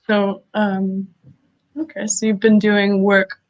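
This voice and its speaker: A woman hosts an online talk over a video call, speaking in an even mid-range voice. She is friendly but hesitant and fills her pauses with many ums. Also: medium pitch, muffled recording. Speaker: talk host.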